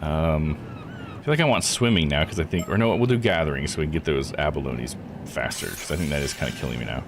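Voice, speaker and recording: A man's voice: extremely low pitch, fast, background noise. A man streaming a video game, giving casual, slangy live commentary and muttering to himself with mild swearing. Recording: loud game music behind the voice. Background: video game music.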